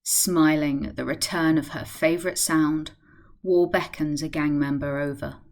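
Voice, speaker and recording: A woman's voice: sits low, steady tone, unhurried, darkish tone. A woman reading scripted scene narration as the narrator of a radio play.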